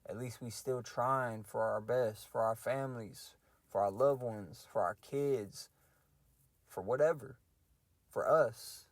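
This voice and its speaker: A man's voice: medium pitch, slow pace, no echo. Slangy twenty-something man, dry close sound. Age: 20s. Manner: loose and conversational, heavy slang, reflective. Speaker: podcast host ranting. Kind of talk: casual solo rant.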